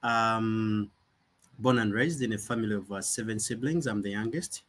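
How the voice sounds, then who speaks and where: A man's voice: on the low side, normal speed, fairly bright tone. A young man from Uganda, a nonprofit founder talking earnestly and reflectively in an interview, often restarting his sentences. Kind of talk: conversational interview.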